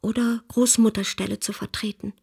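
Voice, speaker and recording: A woman playing a brave, ailing young mother, reading her letters in a subdued, measured, formal way in a radio drama. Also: medium pitch, level tone, gravelly, darkish tone, slightly rough. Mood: subdued, pleading.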